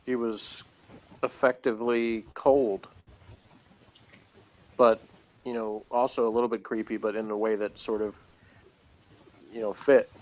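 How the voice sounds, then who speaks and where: A man's voice: fairly low pitch, little pitch movement, a little slow, pauses often. A man chatting casually as a reviewer, commenting in a dry, sardonic, joking way. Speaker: episode reviewer. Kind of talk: casual review chat, reading aloud and commenting. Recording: clearly noisy room ambience.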